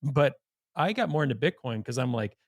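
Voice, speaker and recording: A man's voice: mid-range voice, animated, fairly quick, no room sound. Man hosting a podcast, dry close sound. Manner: informal, opinionated, blunt. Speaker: podcast host. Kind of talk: conversational interview.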